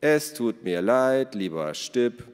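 A man's voice: medium pitch, animated, normal speed, a little mellow, lightly acted. Grumbling teasing boy, played by a male reader: sarcastic, grudging, storybook tone, hall reverb. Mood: sceptical, mock-contrite. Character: grumbling, sceptical, teasing boy, the tracker's son.

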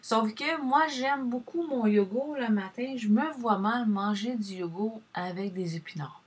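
Chatty husky Québécois woman. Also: fairly high pitch, a little slow. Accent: Québécois French. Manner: chatty, rambling, addresses viewer directly. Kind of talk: casual advice chat.

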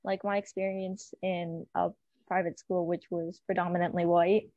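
A woman's voice: fairly low pitch, level tone, normal speed, no echo, highs cut off. A young woman, speaking casually in a student discussion.

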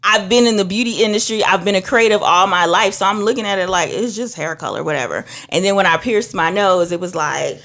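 A woman's voice: mid-range voice, a little fast, few pauses. A young woman from Trinidad, a minister, telling stories in casual conversation and acting out what others said. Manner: animated storytelling, acts out other voices. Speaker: minister and beauty professional.

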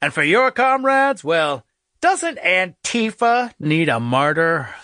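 A man's voice: high-pitched, sing-song, takes it slowly, sharp tone, dry recording, studio quality, full character voice. An actor playing a short-tempered, bossy police captain posing as a regular guy, menacing and mock-folksy, in a satirical radio drama. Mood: menacing, smug.

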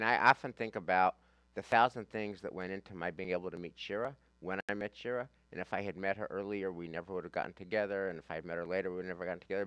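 A man's voice: sits low, a bit bright, dry recording, poor audio. A man leading a study class as a rabbi, debating emphatically and repeating phrases for stress.

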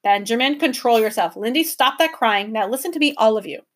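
A measured, firm old professor who keeps his voice steady and stern, as a woman reads him. A storybook guardian laying down the rules to children, with a fairly high voice for the part. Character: calm, stern older male professor.

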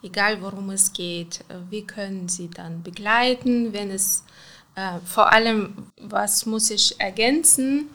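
A woman counselor, speaking German haltingly with many fillers in a casual interview. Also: mid-range voice, bright, forceful tone. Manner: halting, many fillers and restarts, non-native grammar. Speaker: counselor at a women's support center.

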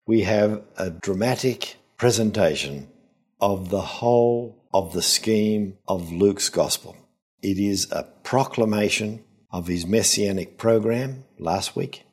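An older man, a priest and scholar, giving a scripted gospel reflection in a measured, solemn, emphatic way. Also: deep voice, breathy edge.

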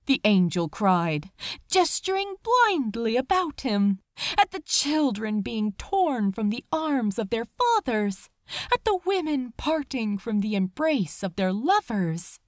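A woman narrates a dramatic story with solemn feeling. Her voice is fairly high and very expressive in pitch, and she takes her time over each phrase. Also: slow pace, no room sound, clean studio sound. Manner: solemn, emotive. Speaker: audiobook narrator. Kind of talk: dramatic scripted narration of fan fiction.

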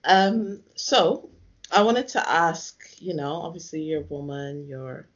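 A man's voice: on the high side, takes it slowly, a bit bright. A male interviewer talks in a casual, reflective way. He builds his questions tentatively, in meandering phrases. The recording is slightly dull and lo-fi. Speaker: interviewer, host.

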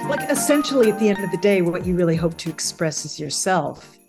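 A woman's voice: lowish voice, sing-song, brisk, music in the background. A woman guest in a reflective conversational interview, thoughtful and searching for her words.

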